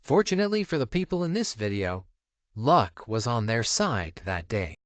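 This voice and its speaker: A male narrator delivers scripted documentary voice-over in a measured, dramatic way. His pitch moves around a lot, giving the phrases an animated lift. The sound is dry and close, with no room echo. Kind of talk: scripted documentary-style narration for a video countdown.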